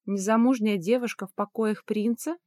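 A woman's voice: medium pitch, steady tone, normal speed, darkish tone, no room sound, studio quality, lightly acted. A woman voicing a blunt, informal young princess heroine in an audiobook, outspoken and easily exasperated.

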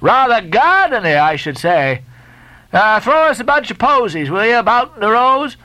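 A man voicing a brash, jolly sailor who bawls and teases loudly. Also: high-pitched, very animated, moderate pace, voice pushed up, full character voice, rough recording. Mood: bawling mockery. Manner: loud bawling, teasing.

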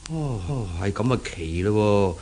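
A man's voice: on the low side, expressive, takes it slowly, warm tone, slight background noise. A man acting in a 1970s Cantonese radio drama as an anxious middle-aged shopkeeper father with a Hong Kong accent, stammering and repeating his words. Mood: flustered. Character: anxious older shopkeeper father, flustered and kindly.

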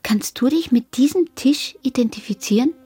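A woman's voice: sits high, moderate pace, dark tone, husky, dry recording. An actress in a radio drama playing a guarded, searching woman cut off from her past, restrained, firm and questioning. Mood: sceptical.

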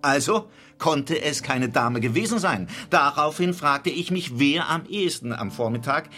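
An actor playing a self-assured, coolly logical detective who lays out a case in an articulate, measured radio drama style. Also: male voice, on the high side, expressive, pushed and bright, soft background music. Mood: explanatory, assured. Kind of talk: radio drama acting, explanatory monologue.

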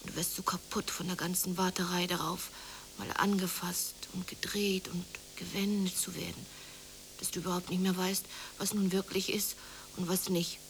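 A woman's voice: lowish voice, little pitch movement, moderate pace, close to an everyday voice. An actress playing a despondent, worn-out mother with a brooding, exasperated manner in a radio play. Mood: despairing. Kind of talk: German radio play acting. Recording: noisy 1980s radio play recording.

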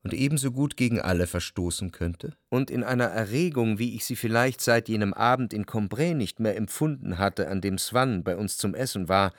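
An actor playing an anxious, jealous lover who recalls his life in measured, introspective radio drama narration. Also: fairly low pitch, no echo, clean studio sound, lightly acted. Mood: brooding, introspective. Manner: measured, introspective, literary phrasing. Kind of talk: literary radio drama narration with quiet dialogue.

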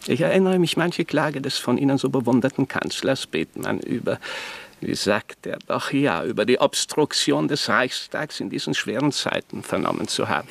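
An actor playing a haughty, scheming archbishop who talks in a suave, patronizing way in a radio play. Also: male voice, mid-range voice, lively intonation, moderate pace, gravelly, no echo. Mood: cool. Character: smooth, haughty, scheming old male archbishop. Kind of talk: German radio play acting.

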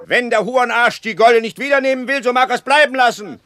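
A mocking, drink-loving squire in a radio play, with an extremely high-pitched voice. He is crude, arrogant and jeering, over clearly noisy sound. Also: fast. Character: coarse, arrogant carousing young nobleman, mocking. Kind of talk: German radio drama acting.

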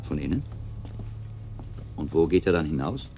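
An actor playing a shrewd, calm monk investigator in a radio drama, deliberating analytically as a mentor. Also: male voice, a bass voice, moderate pace, gravelly, faint music behind, full character voice. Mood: pensive, probing.

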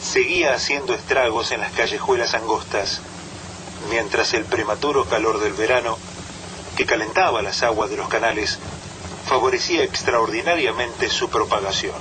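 A man's voice: mid-range voice, moderate pace, noisy background. A man giving a solemn, scripted reading of literary prose for radio. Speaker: reader of literary quotation.

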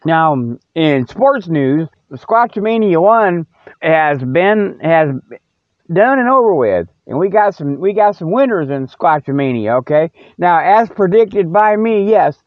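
A man's voice: fairly high pitch, lively intonation, measured pace, no echo. A man hosting a humorous mock newscast, rambling in a casual, folksy, colloquial and jokey way. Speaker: host of a humorous mock news show. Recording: narrow phone-like sound, dry.

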